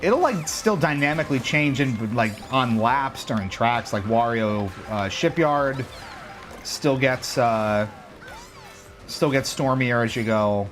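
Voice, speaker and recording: A man giving casual, joking commentary while he plays a racing game on a livestream, reacting out loud to every turn. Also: mid-range voice, slow, faint music behind. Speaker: livestream gamer.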